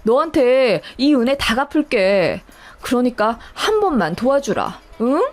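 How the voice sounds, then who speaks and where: A woman's voice: fairly high pitch, very animated, unhurried, faint music behind. A woman voicing a broke young boyfriend in a story, pleading tearfully for help.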